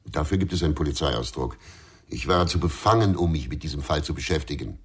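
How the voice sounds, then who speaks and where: Reluctant investigator, extremely deep, husky, terse, brooding, crime radio drama. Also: male voice, expressive, a little fast, heavily acted. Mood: defensive. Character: troubled, reluctant gruff male police investigator.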